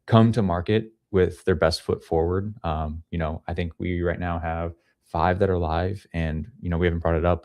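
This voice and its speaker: Very deep, creaky-voiced man explaining casually. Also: darkish tone, no echo, studio quality. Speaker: guest, head of ecosystem at a crypto project. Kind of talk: casual explanatory interview talk. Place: small home room with studio gear, indoors. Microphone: podcast microphone on a boom arm.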